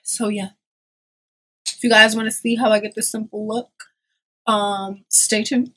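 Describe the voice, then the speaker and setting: A woman's voice: mid-range voice, flat delivery, a little slow, bright, forceful tone, slightly rough, rough recording. Stuffy-nosed woman chatting through a makeup tutorial. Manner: chatty, loose, peppered with ums and likes. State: stuffy from a cold. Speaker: beauty vlogger. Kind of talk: casual makeup tutorial chat. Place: small room in front of a pink backdrop.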